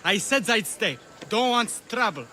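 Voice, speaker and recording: High-voiced, slurring drunken actor. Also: male voice, animated, a little slow, pushed and bright, slight background noise, exaggerated voice. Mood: drunk, jolly. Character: cheerful drunken Greek actor, sloppy and boastful. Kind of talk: video game acting.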